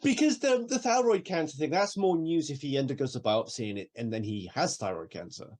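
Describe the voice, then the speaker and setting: A man's voice: fairly high pitch, brisk. A Welsh-born man who speaks with an English accent, co-hosting a podcast in casual, laughing chat. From Wales. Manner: animated, laughs often, swears casually. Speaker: podcast co-host.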